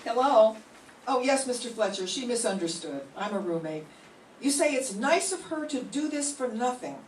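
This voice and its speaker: A woman's voice: fairly high pitch, expressive, a little mellow, a little hiss or hum, close to an everyday voice. An older woman reading the part of a sensible, sarcastic young roommate in a radio sitcom, recorded from far off in a roomy classroom. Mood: wry. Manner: wry, quick with sarcastic comebacks. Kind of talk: 1940s radio sitcom acting.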